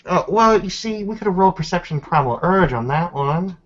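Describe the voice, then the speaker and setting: A man's voice: high voice, expressive, fairly quick. A man narrating and chatting casually as a player in a tabletop roleplaying game. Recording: dull muffled lo-fi audio.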